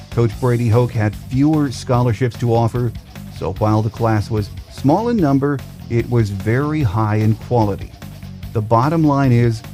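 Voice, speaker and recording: A man hosts a sports podcast in a soft-edged, mellow voice of middling pitch. His delivery is measured and announcer-like, suited to scripted intros and interview questions.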